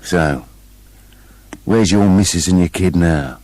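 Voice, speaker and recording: A man's voice: low voice, takes it slowly, darkish tone, dry recording. An actor playing a sardonic, put-upon male wolf in a comic radio play, with a dry, argumentative, incredulous manner. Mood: glum.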